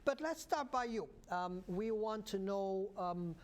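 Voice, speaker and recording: A man hosting a local cable talk show, speaking haltingly with many fillers and restarts. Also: high voice, expressive. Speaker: host.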